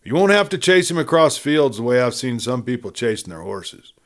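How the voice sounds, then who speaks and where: Male narrator as earnest young frontier woman, frank, affectionate, lively swoops in pitch, western storybook. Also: a little fast, lightly acted. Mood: eager, earnest. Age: young adult. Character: earnest, trusting young woman proud of her horse. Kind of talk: audiobook character reading of a western.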